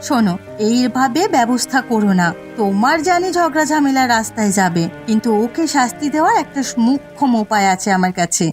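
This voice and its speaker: A woman's voice: on the high side, animated, fairly quick, close to an everyday voice. A woman voicing a shrewd, caring village wife in a cartoon, coaxing and concerned, with music and rain behind. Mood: advising. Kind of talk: cartoon voice acting.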